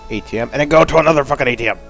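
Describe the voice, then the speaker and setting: Gravelly American man, teasing co-host. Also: mid-range voice, rapid-fire, fairly bright tone. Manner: teasing, sarcastic. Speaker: podcast co-host. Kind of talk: casual banter with interjections. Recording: background music throughout. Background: music.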